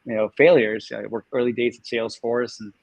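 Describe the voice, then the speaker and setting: A man's voice: medium pitch, fairly even intonation, a little fast, a little mellow, no room sound. A man in his forties from Denmark with a Californian American accent, a Danish native speaker, chatting as an interview guest and laughing often. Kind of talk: casual interview storytelling.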